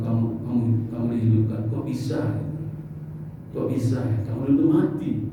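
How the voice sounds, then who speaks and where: Man, 50s, mosque preacher. Chatty, jokey, questions the congregation. Faint hiss, muffled sound. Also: mid-range voice. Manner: conversational, joking, asks the audience questions. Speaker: Islamic preacher. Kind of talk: mosque study sermon. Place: large mosque hall. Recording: echoey, distant, dull mosque recording.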